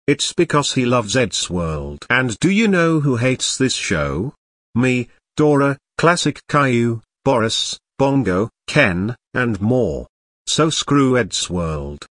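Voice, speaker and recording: A man voices a bratty cartoon boy who rants with big swoops of pitch. The sound is dry and close, and the delivery stays blunt and insulting. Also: very animated, slow, fairly bright tone, no echo, full character voice. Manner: whiny, insulting ranting. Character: bratty young boy troublemaker. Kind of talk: cartoon grounded-video dialogue.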